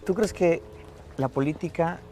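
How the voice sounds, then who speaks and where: Higher-pitched man presenting the news. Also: a little slow, music in the background. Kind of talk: scripted news narration and interviewing.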